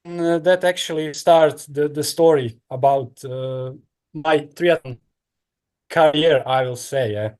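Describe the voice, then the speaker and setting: Croatian guy in his 30s, kinda high voice, halting english with lots of uhs. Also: darkish tone. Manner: halting non-native English with many fillers. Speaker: guest, professional triathlete. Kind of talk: casual interview answers.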